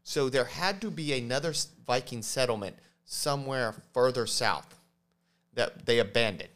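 A man co-hosting a podcast, explaining things casually in a halting way, often stammering and correcting himself. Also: medium pitch, a bit bright. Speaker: podcast host.

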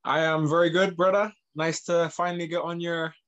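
A man's voice: fairly high pitch, level tone, moderate pace, a bit bright, dull audio. A man in his thirties who grew up in England and Northern Ireland, speaking as a guest in a casual interview. He talks loosely, with plenty of "like" and "you know.". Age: 30s. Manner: loose, filler-heavy, lots of "like" and "you know". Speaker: guest, MMA fighter.

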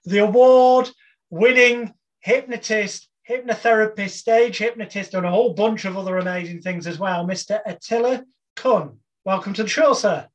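A man's voice: high-pitched, moderate pace, dull audio. Male interview show host, announcer-style delivery. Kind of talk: scripted-sounding show introduction and interview.

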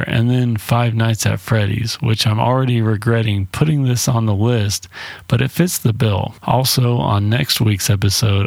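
A man with a low, gravelly voice talks like a casual podcast host. He is blunt and informal as he reviews, and the sound is close and dry. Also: no echo. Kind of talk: casual solo movie review.

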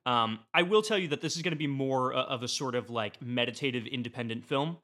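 Bright-voiced man pitching on a podcast. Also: fast. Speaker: podcast guest. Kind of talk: animated comedic pitch in a casual panel debate.

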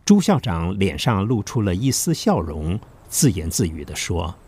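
A man's voice: low voice, sing-song, normal speed, a little mellow, breathy edge. A man narrating a scripted historical drama as its storyteller. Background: background music.

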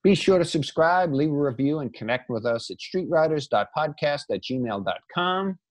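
A man's voice: on the high side, expressive, moderate pace, no room sound. A man co-hosting a podcast, chatting casually and conversationally. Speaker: podcast co-host.

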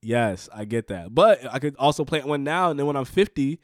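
A man's voice: medium pitch, expressive, fairly quick, no room sound. Earnest man in his twenties, coaching. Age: 20s. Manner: earnest, repetitive emphasis, coaching tone. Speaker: podcast co-host. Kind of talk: casual conversation.

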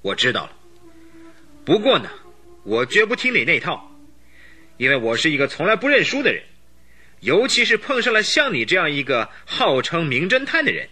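An actor playing an arrogant count who leads a gang, speaking defiantly and boastfully in a radio drama. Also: male voice, on the high side, huge pitch swings, normal speed, long pauses, pushed and bright, breathy edge, heavily acted. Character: arrogant, stubborn male count and gang boss.

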